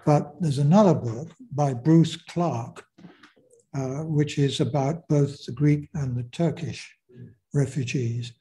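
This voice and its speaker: A man giving a lecture, answering audience questions in a hesitant, measured way with many fillers. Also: fairly high pitch, dark tone, low-quality recording. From Britain.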